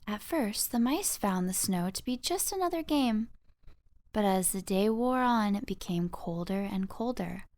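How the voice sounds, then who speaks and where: A woman narrating a scripted children's audiobook with expressive bedtime storytelling. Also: mid-range voice, unhurried, no echo.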